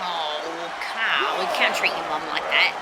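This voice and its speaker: A color commentator at a wrestling show, trading playful banter and teasing jabs with short reactions and fillers. A heavy crowd roar and loud noise fill the background. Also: medium pitch, unhurried. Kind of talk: comedic live commentary.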